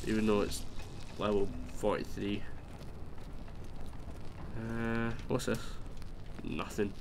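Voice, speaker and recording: A man's voice: on the low side, level tone, takes it slowly, noisy background. A man with a stuffy nose giving rambling, chatty commentary while playing a video game, exclaiming at what happens. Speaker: let's play host. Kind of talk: casual gameplay commentary.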